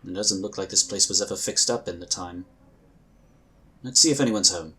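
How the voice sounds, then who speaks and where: A man voicing a calm, dutiful space agent. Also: deep voice, slight background noise. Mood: matter-of-fact. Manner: measured, polite, firm. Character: calm, dutiful male psionic scion agent. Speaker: character in audio drama.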